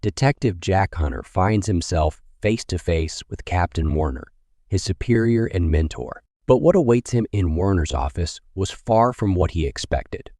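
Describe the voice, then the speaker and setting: A man's voice: deep voice, expressive, moderate pace, dark tone, no room sound, studio quality. A man narrating a scripted crime story in a dramatic storytelling style.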